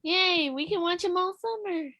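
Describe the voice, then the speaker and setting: A woman's voice: very high voice, moderate pace, bright, forceful tone, no room sound, studio quality. A woman actor chatting casually, eager and blunt in how she talks. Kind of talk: casual chat.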